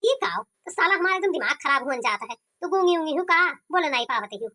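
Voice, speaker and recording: A woman's voice: very high-pitched, expressive, quick pace, bright, forceful tone, heavily acted. A woman voicing a complaining, stubborn young village groom in an Awadhi-accented cartoon comedy. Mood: indignant. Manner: complaining, argumentative.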